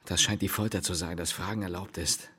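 An actor playing a bitter, tormented husband in a literary radio drama, speaking in a solemn, declamatory and halting way. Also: male voice, low-pitched, steady tone, fairly bright tone, breathy edge. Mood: brooding. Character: bitter, brooding, fatalistic husband, resigned yet tormented. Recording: long hall reverb.

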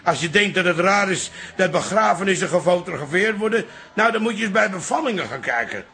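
A man's voice: sits high, animated, brisk, sharp tone, soft background music, heavily acted. An actor playing a blunt, boastful older pub-owner father in a radio comedy-drama, grumbling and colloquial. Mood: grumbling, storytelling.

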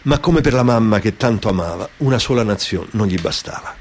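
A man's voice: on the low side, expressive, a little fast, slight background noise. A man giving a theatrical reading of a satirical ballad, emphatic and rhythmic, with a mocking storyteller's delivery. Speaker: author reading his own satirical ballad.

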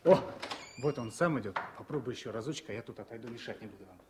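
An actor playing a quiet, caring soldier in a Soviet radio drama, speaking to his friend. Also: medium pitch, animated, fast, background noise, natural voice. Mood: calm, confiding.

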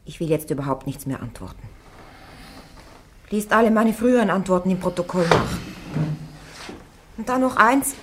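A woman's voice: fairly low pitch, animated, moderate pace, long pauses, fairly bright tone, a little hiss or hum. An actress playing a young peasant woman on trial before churchmen, answering them stubbornly and plainly. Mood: defiant. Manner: stubborn, plain-spoken and pleading. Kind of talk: radio play acting.

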